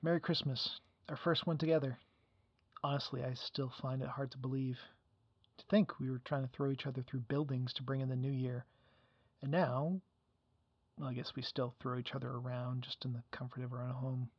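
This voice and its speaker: A man's voice: mid-range voice, level tone, moderate pace, no echo, lightly acted. A man playing an affectionate, teasing husband leaving an intimate voice message in an audio roleplay. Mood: tender, playful. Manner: tender, teasing. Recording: muffled cellphone voice message, dry.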